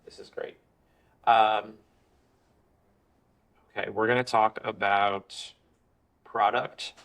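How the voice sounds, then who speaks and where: A man's voice: lowish voice, flat delivery, slow pace, a bit bright. A man hosting a podcast interview, complimenting his guest and steering the conversation back to the topic.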